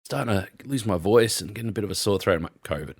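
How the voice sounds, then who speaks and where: A man's voice: on the low side, fast talker, slightly rough, no echo, studio quality. A young man co-hosting a casual podcast, telling rambling stories with lots of laughter. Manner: rambling, animated storyteller, frequent laughs. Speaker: podcast co-host. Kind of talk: casual chat.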